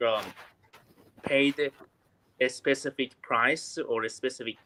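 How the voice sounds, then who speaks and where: A man from Iran with an Iranian accent, calling in to practise English and often pausing on "you know". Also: medium pitch, steady tone, background noise. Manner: hesitant, many "you know" fillers. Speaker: learner calling in. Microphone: remote call audio.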